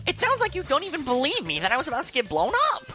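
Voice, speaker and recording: An actor playing an excitable, worried, good-natured teenage boy who talks in exclamatory casual slang in a web audio drama. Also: extremely high pitch, quick pace, faint music behind, exaggerated voice. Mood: exasperated.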